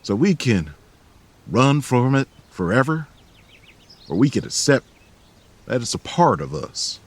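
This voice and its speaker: A man acting a calm, weary, caring companion who speaks softly and coaxes others, in an audio drama. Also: medium pitch, measured pace. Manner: soft, coaxing, gentle. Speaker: Silver.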